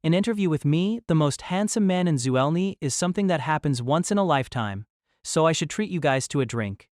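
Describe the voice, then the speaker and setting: A man's voice: fairly high pitch, animated, fairly quick, darkish tone, no echo, studio quality, natural voice. A man voicing a showy, self-admiring young man in an audio drama who treats others grandly. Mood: boastful. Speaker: voice actor. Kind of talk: fan audio drama acting.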